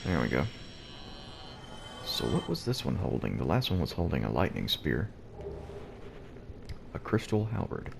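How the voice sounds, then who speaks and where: A man quietly giving gameplay commentary at home early in the morning, keeping his deep, low voice hushed so he won't wake anyone. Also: big pitch swings, measured pace, gravelly, pauses often, music in the background. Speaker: let's play host. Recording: noisy background hiss.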